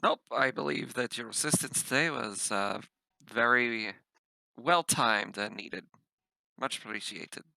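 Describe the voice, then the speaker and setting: A man's voice: medium pitch, a little slow, vocal fry, pushed and bright, no room sound, low-quality recording. A man chatting casually as a player in a tabletop role-playing game.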